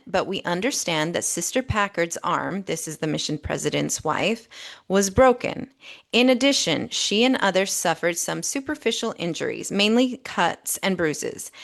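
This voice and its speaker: A woman's voice: lowish voice, creaky. A woman co-hosting a podcast, explaining and reading out reports in a methodical, emphatic way, often checking in as she goes. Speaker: podcast co-host.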